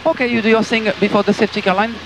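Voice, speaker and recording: A man's voice: high-pitched, little pitch movement, very fast, gravelly, heavy background noise. A man working as a race engineer, giving clipped, matter-of-fact instructions over team radio. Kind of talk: terse radio instructions to a racing driver.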